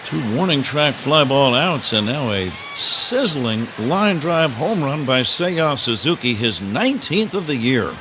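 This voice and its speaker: A man calling baseball play-by-play live on the radio as the announcer. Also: mid-range voice. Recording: crowd roar and steady stadium noise. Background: ballpark crowd.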